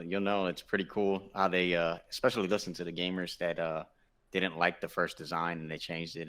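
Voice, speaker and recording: A man's voice: sits low, fairly bright tone. A man co-hosting a podcast, chatting casually and informally. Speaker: podcast co-host. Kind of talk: casual chat.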